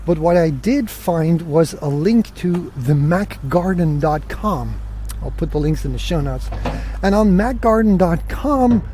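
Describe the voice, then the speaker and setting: A man's voice: fairly high pitch, expressive, a little slow, dark tone, slight background noise. A man hosting a solo podcast, telling stories in a casual, conversational way. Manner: conversational, acts out remembered dialogue. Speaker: podcast host.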